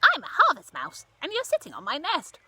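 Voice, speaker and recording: A woman voicing a tiny motherly female harvest mouse in a storybook, brisk, kindly and polite, with a little squeaky voice. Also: huge pitch swings, bright, forceful tone, no room sound, heavily acted. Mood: indignant.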